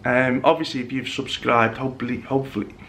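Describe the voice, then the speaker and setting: A man's voice: medium pitch, fairly even intonation, fast, gravelly, a bit bright, slight background noise. A young man, chatting casually to camera in a rambling, self-mocking way while getting over the flu. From England. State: recovering from flu. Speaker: vlogger. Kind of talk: casual vlog chat to camera.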